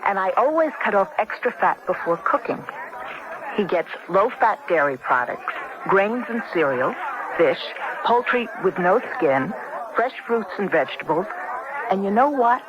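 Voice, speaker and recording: An actress playing a chatty, contented wife in a conversational radio advert. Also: female voice, medium pitch, animated, measured pace, close to an everyday voice. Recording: dull, noisy old radio with crowd.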